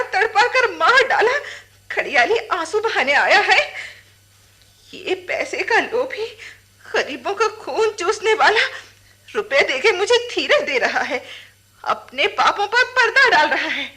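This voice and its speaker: A woman's voice: extremely high pitch, pushed and bright, heavily acted. A woman acting in a radio drama as a grieving, defiant village widow, bitter and accusing, with emotional, declamatory delivery. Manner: emotional, declamatory, tearful outbursts.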